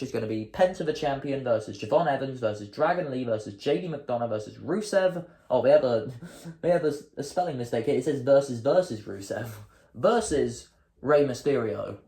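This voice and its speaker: A hoarse man hosting his own show, chatting casually and thinking aloud, often changing his mind mid-sentence. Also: mid-range voice, a little mellow, echoey room. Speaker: host. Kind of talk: casual solo commentary.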